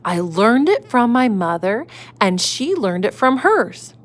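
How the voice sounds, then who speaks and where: Tender mother raccoon, soft and reassuring, voiced by a woman reading aloud. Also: a little high, very animated, unhurried. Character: gentle, loving mother raccoon.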